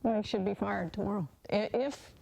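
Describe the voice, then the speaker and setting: A woman's voice: mid-range voice, moderate pace, creaky, mellow, low-quality recording. A middle-aged woman with an American accent speaking candidly in an interview as a former aviation worker. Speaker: former air traffic controller, interviewee.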